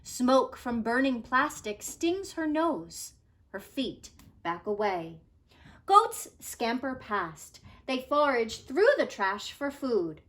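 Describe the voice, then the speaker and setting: Woman, teacher, slightly high pitch, lively melodic range, slow careful phrasing, children's read-aloud. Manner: careful, dramatic phrasing with emphasis. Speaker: teacher reading aloud to pupils.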